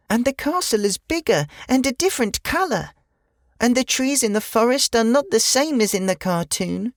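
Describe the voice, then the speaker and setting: A woman acts out a kind, cheerful little girl from a children's storybook. She chirps along earnestly with a very lively, bouncing melody. The sound is dry and close. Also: mid-range voice, no echo. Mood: earnest enthusiasm. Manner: chirpy, wide-eyed, earnest. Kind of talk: children's storybook character acting.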